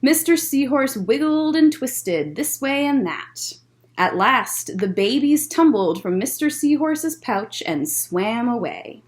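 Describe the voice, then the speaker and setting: A woman hosting story time, reading a picture book aloud to young children in an expressive, deliberate way with short asides. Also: high-pitched.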